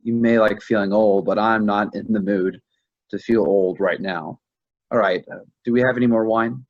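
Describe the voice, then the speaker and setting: Man acting as easygoing husband, lowish voice, casual delivery, dull lo-fi sound, stage drama. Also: fairly even intonation, moderate pace, lightly acted. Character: easygoing husband and friend at a dinner party. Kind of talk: staged play reading. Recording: dull, lo-fi audio.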